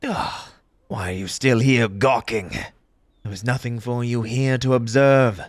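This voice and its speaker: A man playing an eccentric, fussy tea-party host in an audio roleplay, pompous and formal in old-fashioned diction, with a breathy, husky voice and an undertone of grief. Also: medium pitch, fairly even intonation, takes it slowly, dry recording, lightly acted. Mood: indignant, scolding. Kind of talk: theatrical character audio roleplay.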